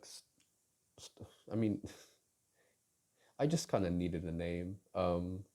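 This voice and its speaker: A young man with a deep voice, casually answering listener questions in a hesitant, rambling way with many fillers. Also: dark tone. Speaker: audio roleplay creator answering questions. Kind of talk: casual Q&A chat.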